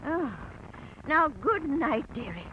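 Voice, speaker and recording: An actress playing a fond but firm older shopkeeper, weary and fussy, in a 1940s radio drama. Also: female voice, high voice, big pitch swings, slow, exaggerated voice. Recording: muffled old radio with hiss.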